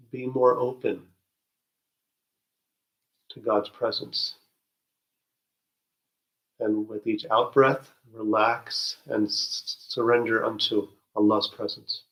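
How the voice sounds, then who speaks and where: A man leading a guided spiritual meditation, speaking gently with soft halting restarts and long pauses. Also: on the low side, poor audio.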